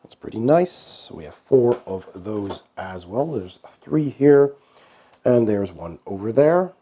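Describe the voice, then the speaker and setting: Hoarse man with a slow, dark voice. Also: expressive, lo-fi sound. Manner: unhurried, low-key describing with many pauses. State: hoarse from a bad cold. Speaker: board game channel host. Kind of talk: casual unboxing commentary.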